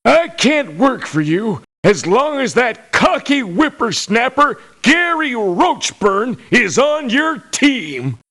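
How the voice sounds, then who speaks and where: An actor playing a crotchety old American veteran mercenary in a video game, folksy and scornful of youngsters. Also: male voice, very high-pitched, very animated, slow, hardly any pauses, sharp tone, heavily acted. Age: older. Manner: folksy, cantankerous. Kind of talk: video game character voice lines.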